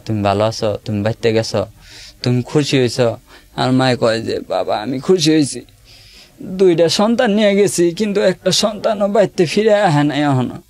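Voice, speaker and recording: A man's voice: on the high side, darkish tone, no echo. A young man, telling his own story in a plain, hesitant way. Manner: plain, hesitant, simple colloquial speech. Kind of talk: personal testimony in an interview.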